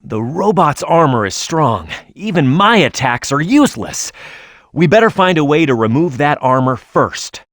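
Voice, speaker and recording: A man's voice: fairly high pitch, sing-song, moderate pace, fairly bright tone, dry recording, clean studio sound. A man voicing a tough, hot-headed echidna brawler in a video game, confident and brash, with punchy, emphatic delivery. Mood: instructive, firm. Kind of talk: video game voice acting, tutorial hints and battle shouts.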